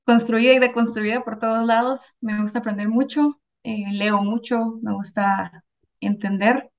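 A woman's voice: fairly high pitch, steady tone, normal speed. Thoughtful woman in her twenties. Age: 20s. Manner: thoughtful, hesitant, many fillers. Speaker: guest. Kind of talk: casual group discussion.

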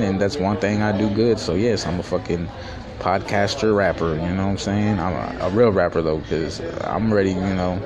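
Deep-voiced young man with streetwise swagger. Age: 20s. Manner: streetwise, profane, boastful slang talk. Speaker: podcast host. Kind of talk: casual solo podcast monologue. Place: fast-food restaurant. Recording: crowded restaurant chatter behind. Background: restaurant crowd chatter.

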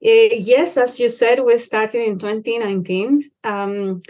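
A woman's voice: on the high side, fairly even intonation, a little slow, a little mellow. Earnest Colombian woman on a podcast. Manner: earnest, with frequent restarts. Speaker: conservation organization director. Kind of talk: podcast interview.

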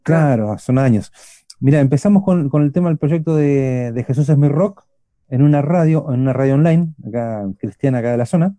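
A middle-aged man with an Argentine accent hosts a casual radio chat. He has a very dark, mellow voice and keeps circling back with fillers and restarts. The recording is dry and close. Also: mid-range voice, fairly quick, dry recording. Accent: Argentine Spanish. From Argentina, Buenos Aires. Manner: rambling, many restarts and fillers. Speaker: radio show host.

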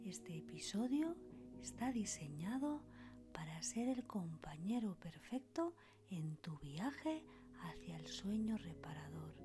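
Female meditation podcast host, soothing breathy whisper, very slow delivery, scripted sleep guidance with clear background music. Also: fairly low pitch, big pitch swings. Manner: soothing, unhurried pauses between short phrases. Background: soft meditation music with singing bowls.

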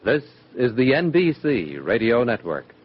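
A man reading the closing credits of an old radio program in a smooth, formal way. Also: medium pitch, very animated, a little slow, over music. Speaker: radio announcer. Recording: dull archival radio broadcast.

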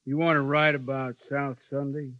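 An actor playing a folksy, controlling frontier sheriff in a 1950s radio western, laconic and authoritative, with an American western accent. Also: male voice, mid-range voice, flat delivery, moderate pace, dry recording, close to an everyday voice. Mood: wary, authoritative. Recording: muffled, dry vintage radio broadcast.

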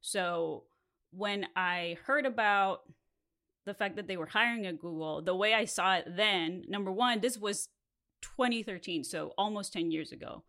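A woman's voice: medium pitch, a little slow, fairly bright tone. A woman in her thirties from Mexico, whose first language is Spanish, telling a candid story as a podcast host with laughs between phrases.

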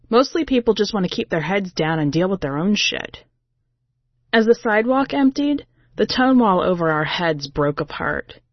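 A woman with a lowish voice narrates fiction in the first person. Her delivery is colloquial and streetwise, like someone recounting a story. The recording is very dry and a little dull. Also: no echo. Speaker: podcast host and story reader.

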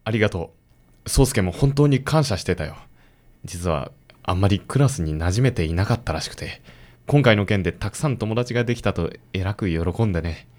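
A man's voice: deep voice, expressive, brisk, a little mellow. A man playing an awkward, apologetic father in a radio drama, speaking hesitantly and politely. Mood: grateful.

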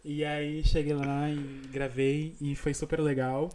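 A man's voice: fairly high pitch, level tone, unhurried, darkish tone. A Brazilian man hosting a podcast in casual chat, talkative, with frequent restarts and laughs. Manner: chatty, laughs often, many restarts. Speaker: podcast host.

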